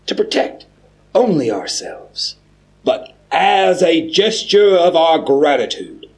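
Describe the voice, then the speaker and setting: An actor playing an ancient, paternal vampire aristocrat giving a formal, stately and condescending speech. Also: male voice, medium pitch. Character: lordly, paternal male vampire aristocrat of great age. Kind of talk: formal speech in an audio drama.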